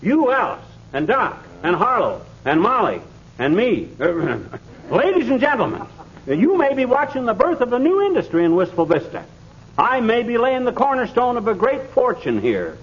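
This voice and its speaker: A man's voice: very high-pitched, wildly swooping pitch, moderate pace, heavily acted. An American man playing a blustering, self-important, gullible husband in 1940s radio comedy, folksy and given to pompous speeches. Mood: pompous, showy. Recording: muffled, noisy 1940s radio recording.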